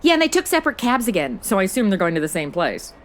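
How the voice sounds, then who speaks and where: A woman's voice: mid-range voice, very animated, very fast, natural voice. A woman playing a slangy, blunt, sarcastic modern young woman who is a detective's sidekick in a comedy audio drama. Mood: casual.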